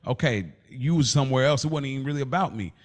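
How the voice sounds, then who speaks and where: A man's voice: medium pitch, expressive, fast talker. A man chatting casually as a podcast co-host, telling stories in loose slang and acting out what people said.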